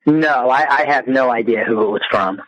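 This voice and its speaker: A man in a casual phone conversation, speaking in a hesitant, halting way. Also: mid-range voice, no echo. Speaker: phone call recipient. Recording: dull phone line.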